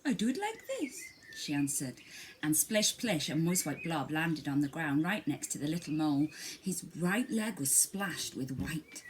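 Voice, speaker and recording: A woman reading a children's story aloud in an expressive, dramatic way. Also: low voice, measured pace, noisy background. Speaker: storybook reader.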